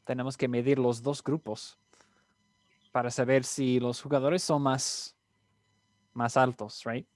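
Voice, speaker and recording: Man, casual tutor, dry close sound, mid pitch. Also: little pitch movement, unhurried, long pauses, a little mellow, no room sound. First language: English. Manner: mixes English fillers into Spanish, frequent "right?".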